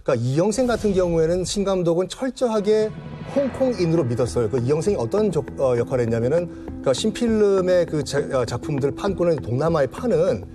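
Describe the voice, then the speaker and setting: 높은 톤으로 빠르게 설명하는 남성. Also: male voice, on the high side, animated, fast talker, few pauses. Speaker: talk show panelist. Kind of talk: animated anecdotal explanation. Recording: background music under studio talk.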